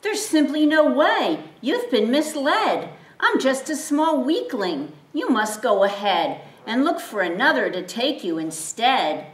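A woman voicing a timid, weepy young male donkey who pleads in a high, fretful voice. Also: lively intonation, slow, few pauses. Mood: despairing. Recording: roomy, distant mic.

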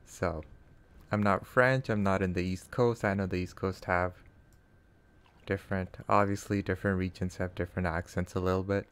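A man's voice: low voice, steady tone, normal speed, clean tone. A man from Canada whose first language is not English, chatting casually with his live-stream viewers while he plays, answering chat and laughing often.

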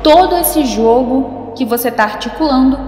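A woman's voice: sits high, expressive, moderate pace, dark tone, slight background noise. A woman playing a defiant, sarcastic female hacker in a science-fiction audio drama, with a slangy, mocking delivery. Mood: taunting. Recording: echoey, long reverb, faint music.

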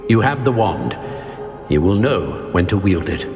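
An actor playing a solemn old wizard in an enchanted portrait for a video game, measured and formal, in long reverb. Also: male voice, low-pitched, very animated, music in the background, heavily acted. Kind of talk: cinematic video game acting.

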